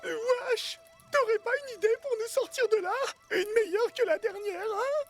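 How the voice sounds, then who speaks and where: A streetwise little cartoon boar, forever doubting and questioning in slang. The voice is extremely high, and music plays clearly behind it. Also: female voice, normal speed. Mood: baffled, sceptical. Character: streetwise, sceptical young wild boar sidekick. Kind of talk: cartoon voice acting.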